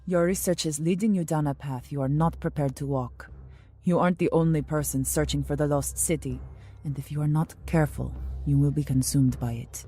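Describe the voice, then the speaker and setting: Imperious female royal spy played by an actress, cryptic and formal, deep mellow voice, faint music behind. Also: steady tone, fairly quick, husky. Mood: grave, commanding. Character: mysterious, commanding female royal agent, coolly condescending. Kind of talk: steampunk audio drama acting.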